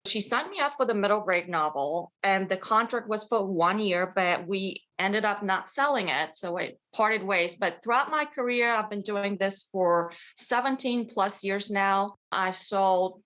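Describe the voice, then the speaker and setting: A woman's voice: mid-range voice, a little slow, few pauses, fairly bright tone. A middle-aged woman, a native Bulgarian speaker from Bulgaria, answering interview questions as a children's author in an enthusiastic way, laughing often.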